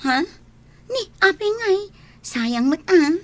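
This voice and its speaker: An actress playing a friendly young city barmaid in a radio drama, chatty and persuasive. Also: female voice, very high voice, big pitch swings, extremely slow, exaggerated voice. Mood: excited, friendly. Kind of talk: Ilocano radio drama acting.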